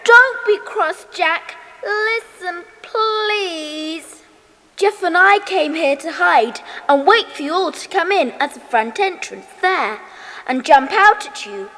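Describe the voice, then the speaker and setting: An actress playing a cheeky, pleading little sister in a children's audio drama, with an earnest, wheedling manner. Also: female voice, very high-pitched, a bit bright, full character voice. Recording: long hall-like reverb.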